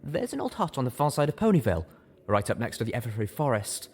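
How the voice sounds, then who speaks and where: A man's voice: mid-range voice, sing-song, fast talker, darkish tone, breathy edge, voice pushed up. A man voicing an eager young male pony, a starstruck would-be hero in a story reading. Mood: eager, awed.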